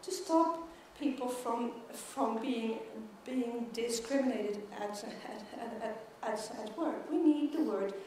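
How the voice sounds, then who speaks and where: High-voiced Swedish woman who stutters. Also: slow pace, hardly any pauses, warm tone, a little hiss or hum. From Sweden. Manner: stutters with repeated words and syllables. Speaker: keynote speaker. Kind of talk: conference keynote speech. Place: large conference hall. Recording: echoey hall, mic from far off.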